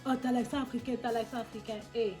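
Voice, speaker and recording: A young woman with an Ivorian accent chats casually and animatedly, answering questions about her roots. Her voice sits fairly high. Faint music plays underneath. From Côte d'Ivoire. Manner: lively, animated, quick to tease. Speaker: vlogger.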